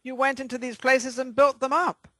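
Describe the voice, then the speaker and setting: A woman's voice: sits high, flat delivery, moderate pace, a bit bright, dry recording. Chatty lady host on a video call, laughs a lot, super dry close sound. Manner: chatty, laughs easily, interrupts. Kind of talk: casual interview on a video call. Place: indoors, room with framed pictures.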